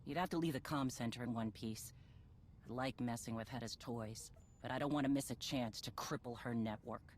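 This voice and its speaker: An actress playing a blunt, commanding female bounty hunter in a video game, impatient and vengeful, with a husky voice. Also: extremely low pitch, moderate pace, a little hiss or hum. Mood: cool, determined. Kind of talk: video game cutscene acting.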